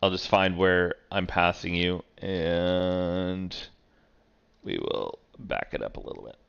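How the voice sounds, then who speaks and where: A man's voice: low voice, takes it slowly, creaky, fairly bright tone, smooth, highs cut off. A man streaming sim racing, casually talking through a race replay and thinking aloud with many fillers.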